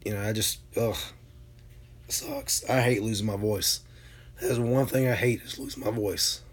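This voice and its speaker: A hoarse man from Alabama, casually chatting with viewers on his livestream and answering their comments, with lots of ums and uhs. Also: on the low side. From Alabama, USA. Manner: relaxed, drawn-out with many fillers. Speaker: livestream host.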